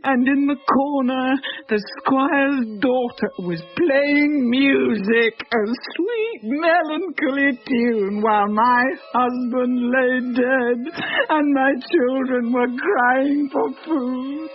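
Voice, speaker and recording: A slow, grieving, starving widow. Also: female voice, high voice, faint music behind. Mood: bitter. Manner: slow, mournful, anguished delivery. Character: starving, grieving village widow and mother, bitter and despairing. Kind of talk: dramatic monologue in a horror radio play. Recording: dull lo-fi radio drama recording.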